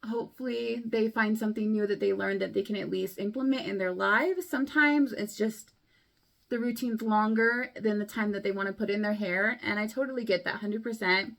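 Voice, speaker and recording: A young woman vlogging casually to camera, chatty and rambling, her voice a bit hoarse from a cold. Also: mid-range voice, normal speed, a bit bright. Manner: chatty, rambling, many likes and ums. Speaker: beauty vlogger.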